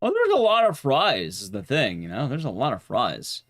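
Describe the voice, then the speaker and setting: A man's voice: medium pitch, wildly swooping pitch, normal speed, fairly bright tone. A man livestreaming a game and chatting casually with viewers, rambling and restarting his sentences as he goes. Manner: rambling, often restarting sentences mid-thought.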